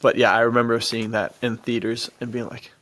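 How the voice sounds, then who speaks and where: A man's voice: mid-range voice, little pitch movement, fairly quick, a bit bright. A man co-hosting a podcast in casual, enthusiastic chat, gushing in slang and often laughing. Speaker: podcast co-host. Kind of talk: casual enthusiastic chat about action films.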